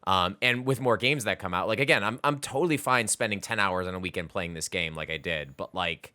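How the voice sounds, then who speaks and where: A man's voice: deep voice, a little fast, pushed and bright. A man co-hosting a podcast, talking about video games in a loose, rambling, casual way. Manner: loose, rambling, repeats words as he goes. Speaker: podcast co-host. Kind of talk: casual video game chat.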